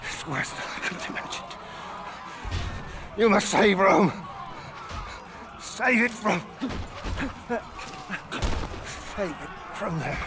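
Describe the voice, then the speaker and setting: An actor playing a stern, noble middle-aged Roman father and veteran commander in a video game, speaking in a grave, measured, dramatic way. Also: sits high, expressive, over music. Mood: desperate, strained. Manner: grave, measured, solemn storytelling.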